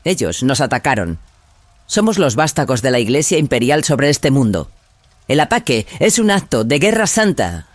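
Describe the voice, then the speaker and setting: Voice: mid-range voice, big pitch swings, normal speed, no echo. Zealous warrior woman acted out, swooping intonation. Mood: righteous, suspicious. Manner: forceful, righteous. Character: zealous, suspicious female warrior, second-in-command. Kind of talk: dramatized audio fiction acting.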